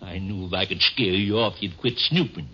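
An actor playing a crafty, uneducated crook in a 1950s radio drama, defensive and wheedling, with a folksy ungrammatical drawl. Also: male voice, deep voice, moderate pace, pushed and bright, husky, full character voice. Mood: smug, confiding. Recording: dull vintage radio broadcast recording.

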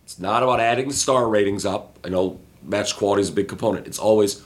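Hoarse, raspy man with opinions. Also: lowish voice, fast. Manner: opinionated, emphatic. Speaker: podcast co-host. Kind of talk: casual chat about a wrestling show. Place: hotel room.

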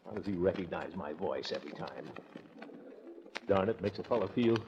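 An American actor playing a pompous, easily flustered middle-aged uncle in a theatrical 1940s radio sitcom style, indignant and sputtering. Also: male voice, deep voice, expressive, normal speed, gravelly. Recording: muffled, noisy 1940s radio recording.

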